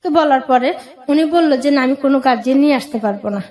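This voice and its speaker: A woman's voice: sits high, fast, mellow. A woman telling her own life story as an interview guest, plainly, quoting conversations as she goes. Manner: plain-spoken, recounting with acted-out dialogue.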